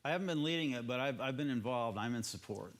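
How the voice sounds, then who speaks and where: An American man giving conversational political commentary as a panelist, explaining things in an informal, measured way. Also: medium pitch, expressive.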